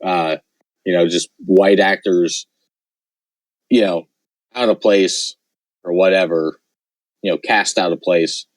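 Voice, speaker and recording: A man with a lowish voice chats casually on a podcast, rambling and leaning on fillers. His voice sounds worn and hoarse. The sound is dry and close. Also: steady tone, takes it slowly, long pauses, no room sound. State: losing his voice. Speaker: podcast host. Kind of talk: casual chat.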